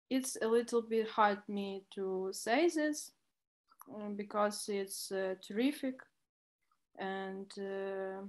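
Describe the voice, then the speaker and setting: Very slow, hesitant young Ukrainian woman. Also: mid-range voice. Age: young adult. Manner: very hesitant, searching for words in non-native English. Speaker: university student, interview guest. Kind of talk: unscripted interview answers.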